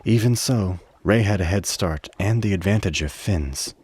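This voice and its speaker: A man narrating a radio play with measured, literary dramatic storytelling. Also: low-pitched, darkish tone, husky.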